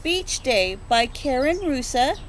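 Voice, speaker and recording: A woman in her forties, a preschool teacher, reads a rhyming picture book aloud to children and stops to ask them gentle questions. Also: high voice, big pitch swings, slight background noise. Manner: slow rhythmic rhyme reading with gentle questions to children. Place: outdoors on a front porch. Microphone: phone or laptop camera at close range.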